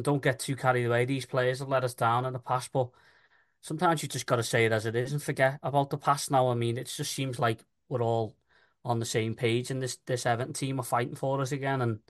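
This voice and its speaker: Casting: male football fan co-host, mid-pitched voice, earnest and colloquial in casual discussion.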